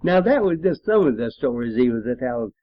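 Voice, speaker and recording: A very old man with a rural American accent, a war veteran telling rambling stories from his service in a halting, plain-spoken, folksy way. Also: mid-range voice, very animated. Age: 80s or older. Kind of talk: rambling oral-history storytelling. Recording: muffled, roomy, lo-fi home recording.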